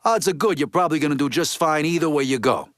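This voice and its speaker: An actor playing a hard-boiled, bitter mobster in a video game, talking in a blunt, streetwise way full of slang and swearing. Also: male voice, fairly high pitch, fast, fairly bright tone, no room sound, studio quality, close to an everyday voice. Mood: businesslike, pressing. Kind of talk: video game character dialogue.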